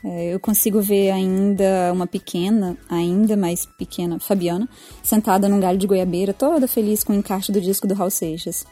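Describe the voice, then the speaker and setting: Mellow, self-deprecating Brazilian woman. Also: medium pitch, steady tone, normal speed, faint music behind. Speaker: podcast commentator. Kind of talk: informal spoken reflection.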